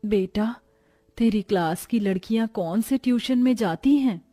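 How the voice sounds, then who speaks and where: A woman's voice: mid-range voice, measured pace, mellow, lightly acted. A woman voicing a weary, self-sacrificing poor mother in a cartoon moral story, earnest and plaintive.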